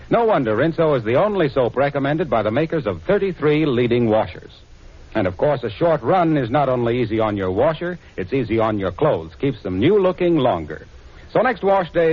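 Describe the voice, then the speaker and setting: A man's voice: mid-range voice, slightly rough. A man with an American accent announcing in 1940s radio sponsor style, with folksy, upbeat salesmanship. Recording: muffled 1940s radio broadcast recording.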